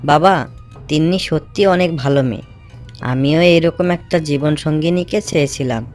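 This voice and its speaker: A kind, cursed young prince played by a man in a fairy-tale cartoon. He speaks in a fairly high male voice, polite and sincere. Quiet music runs underneath. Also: moderate pace, close to an everyday voice. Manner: polite, earnest.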